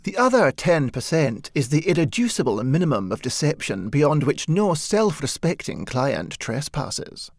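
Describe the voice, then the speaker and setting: Animated, higher-pitched male audiobook narrator. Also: no room sound, clean studio sound. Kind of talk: scripted narration of a satirical short story.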